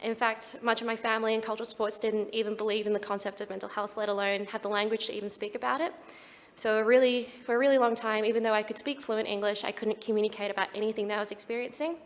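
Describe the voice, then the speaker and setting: A woman's voice: mid-range voice, steady tone, fast talker, in a large hall. A young Australian woman giving an earnest, prepared talk at a conference. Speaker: conference speaker.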